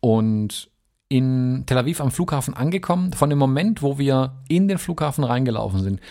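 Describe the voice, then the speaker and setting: A man from the US tells a trip story in a loose, rambling way, filling pauses with hesitations. His voice sits at an ordinary middle pitch, relaxed and conversational. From United States. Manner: rambling, chatty, many fillers. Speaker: podcast guest. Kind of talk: casual travel storytelling.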